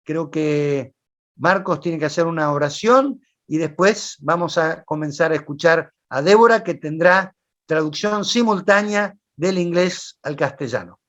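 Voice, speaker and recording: A man hosting an online talk, relaxed and unhurried, with frequent "este" fillers. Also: on the high side, lo-fi sound. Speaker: host.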